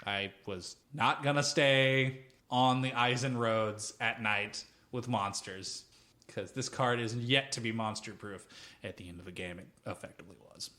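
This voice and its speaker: A man with a mid-range voice chats casually as a podcast co-host. He rambles from one thought to the next, swears freely and tells things in an animated, informal way. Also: medium pitch, a little slow. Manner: rambling, profane, animated storytelling. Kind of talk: casual chat about tabletop role-playing.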